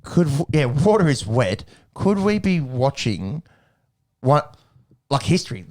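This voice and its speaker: A man in casual sports-podcast chat, at an ordinary mid pitch. He rambles, restarts his sentences and cracks jokes, laughing often. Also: very animated, a little slow, darkish tone. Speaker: podcast co-host.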